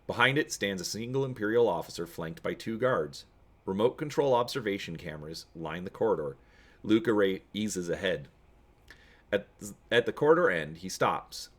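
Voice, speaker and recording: A man giving a dramatic narrated reading of an adventure story, expressive in his storytelling. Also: on the low side, normal speed. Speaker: reader and host of a gamebook read-through.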